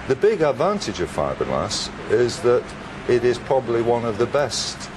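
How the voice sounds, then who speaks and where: A man in his fifties with an English accent, a company boss answering interview questions in a measured, understated way. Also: on the low side. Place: indoor workshop, machinery noise behind. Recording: noisy workshop interview.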